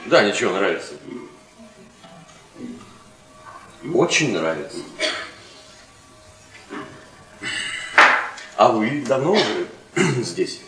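A man's voice: on the low side, big pitch swings, moderate pace, roomy sound, music in the background, low-quality recording. An actor playing a polite, uneasy young university lecturer in his twenties in a radio play, hesitant and guarded. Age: 20s. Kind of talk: Russian radio play acting.

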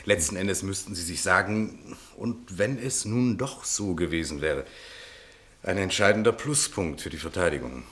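Defence lawyer, low male voice, earnest, formal, argumentative, insistent, radio play dialogue. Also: normal speed, a bit bright. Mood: insistent, reasoning. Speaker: actor in a radio drama.

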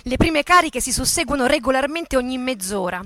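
A woman's voice: high-pitched, lively intonation, fairly quick, pushed and bright, dry recording, studio quality. A woman giving a dramatic scripted narration as an actress in radio theatre.

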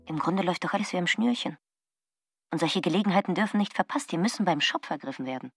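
Eine Schauspielerin spielt im Hörspiel eine sehnsüchtige, gequälte Frau, die sich an Hoffnung klammert, in vertraulichem, teils geflüstertem inneren Monolog. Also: female voice, fairly low pitch, animated, quick pace, husky, no room sound, faint music behind. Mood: determined. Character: yearning, anguished woman clinging to hope. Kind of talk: radio drama acting, inner monologue.

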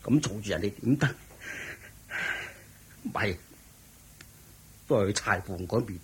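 An actor playing a sickly, anxious monk disciple in a Cantonese radio drama, speaking deferentially and earnestly. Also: male voice, lowish voice, lively intonation, unhurried, breathy edge, exaggerated voice. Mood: considerate, subdued. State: sickly, prone to coughing.

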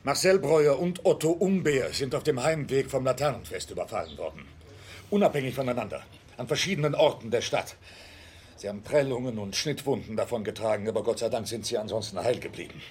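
An actor playing a grave, concerned school head in a radio drama, speaking formally and in measured sentences. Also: male voice, medium pitch, expressive, darkish tone, a little hiss or hum, lightly acted. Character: grave male school head reporting street attacks.

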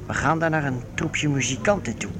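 A man's voice: on the high side, brisk, background noise, lightly acted. An actor in a Dutch radio play voicing a scheming medieval man, persuasive and conspiratorial. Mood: sly, persuasive. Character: scheming male medieval schemer plotting a kidnapping.

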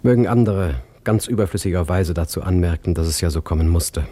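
Deep, dark, husky male narrator. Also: fairly quick, dry recording. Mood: detached, sober. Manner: formal, detached, literary. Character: detached, formal male narrator recalling a troubled woman. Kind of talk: scripted first-person narration.

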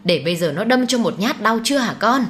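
A woman performs a domineering older mother from an audiobook melodrama. She scolds in an indignant, snobbish way, pitched fairly high. There is some noise behind the voice. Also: fairly quick, close to an everyday voice. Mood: furious. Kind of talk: dramatised audiobook storytelling.

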